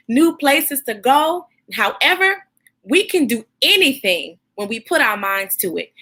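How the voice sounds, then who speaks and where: Female children's read-aloud host: high, bright voice, expressive teacherly delivery, encouraging toward young listeners.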